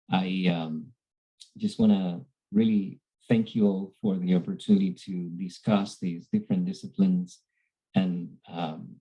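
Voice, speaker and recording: A man's voice: sits low, husky, dull audio. A middle-aged man, introducing himself formally and hesitantly as a panelist on a video call. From Venezuela. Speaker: panelist, scholar.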